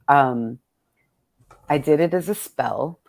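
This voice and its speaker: A woman's voice: low-pitched, takes it slowly, gravelly, darkish tone. A woman hosting a poetry event in casual, reflective conversation, thinking aloud in a playful, colloquial way. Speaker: poet hosting an event with her readers.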